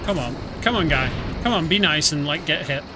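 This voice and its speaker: A man's voice: a little high, expressive, brisk, noisy background. A man casually commentating a video game stream, explaining the story as he plays. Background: game music.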